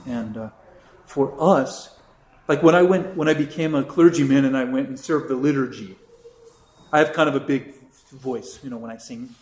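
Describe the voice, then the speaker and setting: A man who is a priest, teaching a catechism class in a relaxed, chatty way with many asides. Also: mid-range voice, moderate pace, a little hiss or hum. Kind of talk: informal class talk. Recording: roomy echo.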